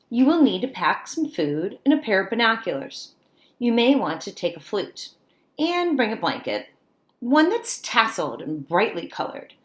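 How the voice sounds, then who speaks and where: Female primary teacher type for picture-book read-aloud: mid-pitched, highly animated delivery, coaxing, question-led asides to kids. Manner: expressive, coaxing, prompts listeners with frequent questions.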